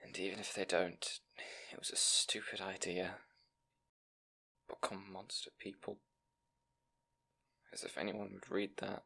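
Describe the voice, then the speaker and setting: A man's voice: low voice, level tone, unhurried, creaky, pauses often, bright, forceful tone, full character voice. A man playing a timid, bookish young scribe in an audio roleplay, speaking to the listener in an anxious, hesitant, stammering way. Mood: tearful, defeated.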